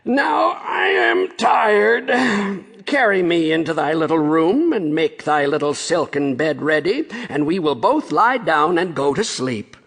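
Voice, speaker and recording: A man voicing a pleading, insistent frog in a slow storybook character voice, with long reverb. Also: fairly high pitch, very animated, gravelly, a bit bright, full character voice. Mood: demanding.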